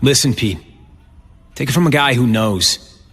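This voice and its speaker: A man's voice: mid-range voice, unhurried, fairly bright tone, slightly rough. An American man playing a bitter, guarded young heir in a video game, speaking in a brooding, confiding way. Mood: bitter, resolute. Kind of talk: video game cutscene acting. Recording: long reverb, music underneath.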